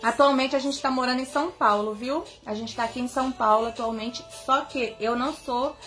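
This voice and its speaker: A woman's voice: sits high, fairly quick, a bit bright, soft background music. A young woman, chatting casually on a live stream from a bedroom, greeting viewers by name in an affectionate way, recorded on a phone held at arm's length. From Bahia, Brazil. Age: young adult. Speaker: singer hosting a live stream. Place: bedroom indoors, seated on bed. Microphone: phone camera at arm's length.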